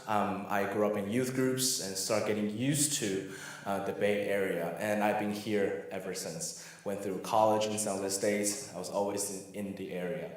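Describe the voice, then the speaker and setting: A middle-aged man from Taiwan speaks informally as a workshop presenter. His voice sits fairly low. The sound is heavily reverberant, as in a big church room. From Taiwan, moved to the US as a child. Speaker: guest speaker at a parent workshop.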